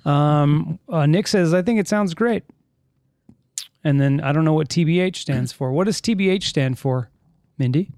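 A man chats loosely on his live podcast, circling back and correcting himself mid-sentence. His voice sits a little high for a man. The studio mic is close and dry, with no room sound. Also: normal speed, darkish tone, no room sound. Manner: rambling, self-correcting, reads chat comments aloud. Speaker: podcast host. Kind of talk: casual live podcast chat. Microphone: studio mic with headphones.

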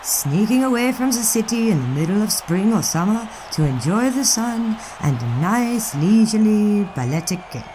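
A woman's voice: medium pitch, lively intonation, moderate pace, hardly any pauses, dark tone, close to an everyday voice. An actress playing an opinionated young woman of the 1920s, arch, refined and playfully scolding in an audio drama. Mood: playful, affectionate. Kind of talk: period audio drama acting. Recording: clearly noisy outdoor ambience behind.

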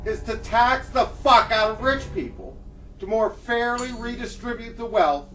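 Distant, high-voiced woman playing an earnest Marxist woman. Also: a little slow, a bit bright, a little hiss or hum. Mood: earnest, indignant. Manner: declamatory, opinionated monologue. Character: earnest, indignant old-school Marxist woman holding forth. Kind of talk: radio play monologue. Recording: distant mic with music underneath.

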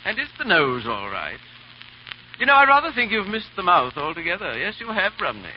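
A daring English gentleman, very animated, muffled old radio. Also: high voice, a little fast. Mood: foppish, languid. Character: daring English baronet secretly rescuing victims, posing as foppish dandy. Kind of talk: 1930s radio drama acting. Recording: muffled, hissy 1930s radio recording.

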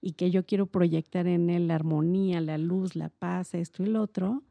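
A middle-aged Mexican woman talks casually and reflectively, circling around her ideas. Her voice is gravelly and soft-edged, recorded dry and close. She explains in an informal, wandering way. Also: lowish voice, fairly even intonation, moderate pace, dry recording. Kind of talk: casual conversational explanation on a podcast.

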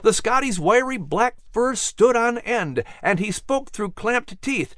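A man narrating a children's story from a script in an expressive storytelling style. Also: very high voice, measured pace, no echo, clean studio sound. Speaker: storyteller and host.

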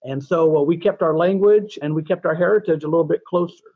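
Chatty older guy in his 60s, bit high voice, kinda dull muffled recording. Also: quick pace, dry recording. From California, USA. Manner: chatty, self-deprecating, many restarts. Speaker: guest. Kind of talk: casual interview chat.

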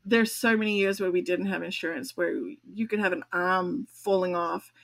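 A woman's voice: fairly low pitch, fairly even intonation, moderate pace. An older woman, a grandmother and podcast co-host, telling a long personal story in casual chat, laughing often as she talks. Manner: chatty, laughs often while telling stories.

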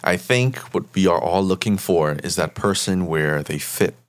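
Deep-voiced man, calm therapist. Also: no room sound. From Bahamas. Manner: measured, tags points with "right?". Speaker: therapist guest. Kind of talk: interview guest explaining.

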